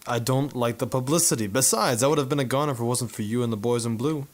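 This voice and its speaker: A man's voice: mid-range voice, fast talker, close to an everyday voice. A man playing a cocky war photographer turned sleuth in a hard-boiled 1950s noir radio drama, with a dry, wisecracking delivery. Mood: easygoing, wry.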